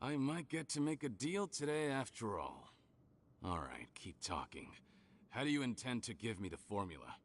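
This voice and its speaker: A man's voice: medium pitch, sing-song, moderate pace. A man voicing a scheming, mocking criminal villain in a video game, theatrical and gloating in his delivery. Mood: scheming, intrigued. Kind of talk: scripted video game dialogue.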